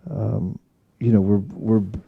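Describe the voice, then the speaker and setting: A man speaking as a spiritual teacher in an informal devotional discussion, reflective and halting in his phrasing. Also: lowish voice, little pitch movement, gravelly, narrow, tinny audio, slight background noise. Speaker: spiritual teacher leading a scripture class.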